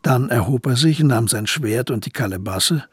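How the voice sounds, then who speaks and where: A man narrating a radio drama in a measured, storytelling manner from a script. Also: mid-range voice, expressive, breathy edge, no echo.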